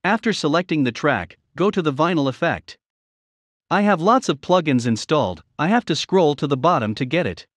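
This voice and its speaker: A man narrating a step-by-step software tutorial in a plain, measured instructional manner. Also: on the high side, lively intonation, dry recording.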